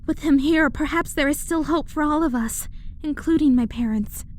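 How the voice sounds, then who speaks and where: Actress as regal young princess, high voice, earnest, formal, gracious, audio drama. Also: female voice, moderate pace, darkish tone, lightly acted. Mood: worried. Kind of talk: animated comic-book audio drama.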